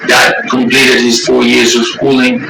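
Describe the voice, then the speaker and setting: A man's voice: mid-range voice, monotone, measured pace, creaky, music in the background. Middle-aged man, rambling testimony. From Ecuador. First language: Spanish. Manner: rambling, repeats words, swears. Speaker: interviewee sharing recovery story. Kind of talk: candid personal testimony. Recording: echoey room from far off, heavy noise.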